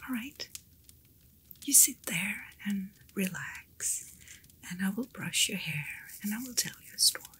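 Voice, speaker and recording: A woman voicing a kind, attentive fantasy innkeeper in an ASMR roleplay, speaking in a soft, soothing whisper. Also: mid-range voice, animated, unhurried, slight background noise. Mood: gentle, soothing.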